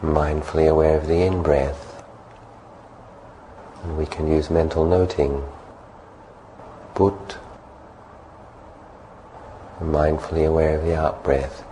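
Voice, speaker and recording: A man calmly leading a guided meditation as a monk, giving gentle, unhurried instructions. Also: rumbling low, slight background noise, poor audio.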